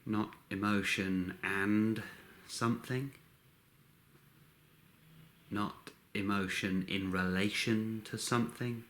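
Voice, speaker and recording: A man with a fairly low voice guides a meditation. He speaks very slowly in short, measured phrases. Long pauses separate his calm instructions. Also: slight background noise.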